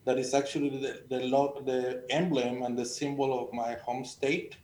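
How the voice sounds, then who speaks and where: A middle-aged man from Mexico with a Mexican accent, speaking earnestly and haltingly as he tells personal stories as a panel guest. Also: flat delivery, darkish tone. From Sonora, Mexico. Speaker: panel guest, agricultural extension educator. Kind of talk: conversational personal storytelling. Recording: echoey room, faint noise and rumble.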